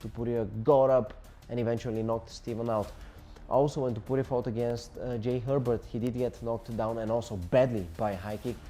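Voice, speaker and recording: Young Bulgarian man, mellow voice. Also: mid-range voice, steady tone, fairly quick, soft background music. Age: 20s. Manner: repetitive, emphatic listing of fight tactics. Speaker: combat sports journalist. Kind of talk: solo fight analysis to camera.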